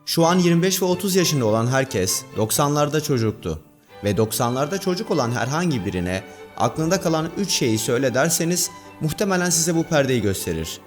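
A man hosting and narrating a scripted countdown video. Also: medium pitch. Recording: music bed under voice. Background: background music.

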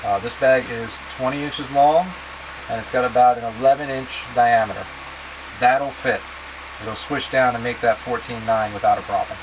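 A man in his thirties with a slightly high-pitched-to-middle, ordinary voice explains things step by step like a scout leader. He is plain-spoken and casually directive, talking to a camera a few feet away in a small indoor space, with a clear steady hum of noise behind him. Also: moderate pace. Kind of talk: instructional packing talk to camera. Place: small wood-panelled boat cabin, indoors.

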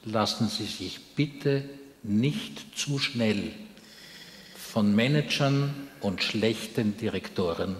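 A man's voice: on the low side, takes it slowly. An older man hosting a live interview on a large opera stage, speaking into a headset microphone. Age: older, 60s or 70s. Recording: echoey hall, faint background noise.

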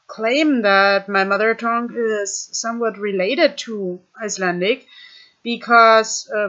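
A young woman with a German accent, speaking English to her webcam in a halting, thoughtful way with many fillers. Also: on the high side, a bit bright. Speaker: vlogger. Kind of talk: casual talk to camera. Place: small room indoors. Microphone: webcam at desk. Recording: dull lo-fi webcam audio.

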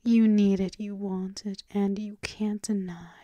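Woman playing a slow, whispering, seductive vampire mistress. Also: mid-range voice, level tone, dark tone, dry recording, highs cut off, lightly acted. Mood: possessive, seductive. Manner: breathy, slow, teasing, coaxing. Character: seductive, dominant vampire mistress doting on her pet thrall. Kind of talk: ASMR roleplay, mostly whispered.

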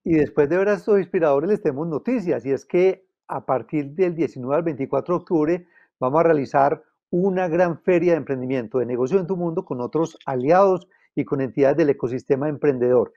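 A man's voice: fairly high pitch, a little fast, mellow, muffled recording. A man hosting a business show, enthusiastically announcing news over a video call. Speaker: show host.

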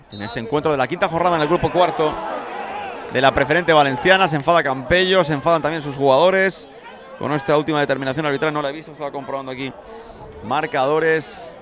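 A man's voice: medium pitch, a bit bright. A man with a Spanish accent from Spain giving live play-by-play football commentary. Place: outdoor football ground. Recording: outdoor stadium crowd noise behind. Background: crowd noise.

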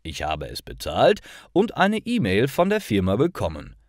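A man's voice: lowish voice, wildly swooping pitch, moderate pace, dry recording, clean studio sound. A man voicing an earnest, upset young woman in a storybook for learners, speaking carefully.

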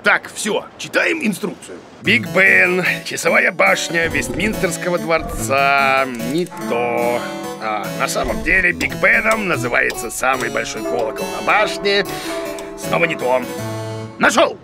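A man voicing a bumbling, boastful, excitable creature who teaches children in an educational cartoon, exclaiming and muttering impatiently. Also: a little high, a little slow, gravelly, music in the background.

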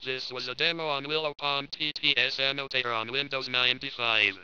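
A synthetic, robotic male text-to-speech voice reading a software demo. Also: medium pitch, fairly even intonation, fairly quick, clean tone, dry recording. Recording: dull lo-fi computer audio.

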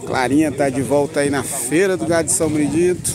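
A man's voice: fairly high pitch, fast talker, crowd noise. A man reporting casually from an outdoor cattle fair, upbeat and full of repeated catchphrases. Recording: loud outdoor noise.

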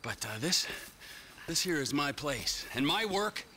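A psychotic, self-righteous North American crook in a video game. He is confrontational and crude, with a fairly high, sharp voice that swings erratically over faint noise. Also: big pitch swings, unhurried, exaggerated voice. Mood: scornful, aggrieved. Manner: confrontational, erratic, heavy swearing. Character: volatile psychotic male criminal, crude, self-righteous, needling. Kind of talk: intense video game dialogue.

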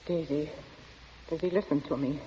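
A woman's voice: low voice, steady tone, moderate pace, warm tone, natural voice. Casting: actress as protective, anxious stepmother, apologetic, for a vintage radio thriller, dull and faintly noisy. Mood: troubled. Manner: hesitant, apologetic, worried. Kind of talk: 1940s radio acting.

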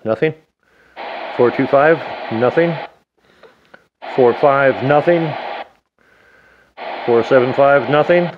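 A man's voice: mid-range voice, slow pace, long pauses, muffled recording, noisy background. A man casually explaining an antenna build at home while he works, chatting to himself and the radio as he goes. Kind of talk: casual how-to narration. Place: home, indoors at a table.

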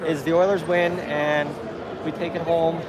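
Higher-pitched man over background noise. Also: little pitch movement, measured pace. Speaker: sports fan vlogger. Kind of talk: post-game sports commentary. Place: busy arena entrance concourse at night. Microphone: phone at arm's length. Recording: loud urban crowd and traffic noise.

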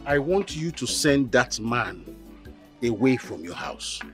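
A man's voice: mid-range voice, very animated, slow pace, music in the background. An actor playing a worried middle-aged father, speaking in a grave, urgent way.

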